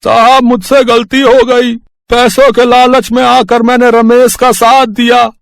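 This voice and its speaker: A man plays a poor, guilt-ridden rickshaw driver in a moral tale. He pleads slowly in a voice raised far above a usual male pitch. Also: flat delivery, gravelly, no room sound, clean studio sound, exaggerated voice. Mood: remorseful. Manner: slow, pleading.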